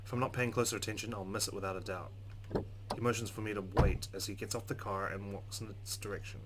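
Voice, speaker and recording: Low-voiced man reading a game aloud. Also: fairly even intonation, quick pace, heavy background noise. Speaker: let's play host. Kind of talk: reading game narration aloud, casual commentary. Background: game music.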